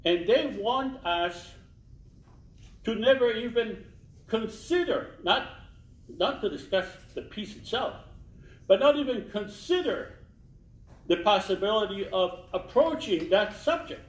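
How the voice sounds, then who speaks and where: A man's voice: high-pitched, lively intonation, unhurried, long pauses. A man in his sixties speaking earnestly at a community panel, holding a handheld mic in a small meeting room, and repeating key phrases for emphasis. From Israel. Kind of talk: public talk at a community panel. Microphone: handheld mic, filmed from a distance. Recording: roomy, distant, dull sound.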